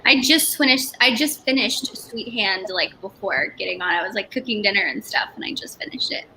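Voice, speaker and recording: A woman's voice: medium pitch, brisk, sharp tone. A woman panelist chatting casually about what she is reading. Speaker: readalong panelist. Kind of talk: casual book chat. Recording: faintly noisy video call.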